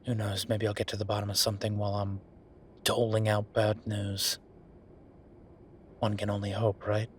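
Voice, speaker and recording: A man playing a weary, wry younger werewolf boyfriend working late, speaking haltingly in an intimate roleplay audio drama. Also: completely flat delivery, a little hiss or hum, natural voice. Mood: tired, frustrated. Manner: low-key, sardonic, halting, sighing.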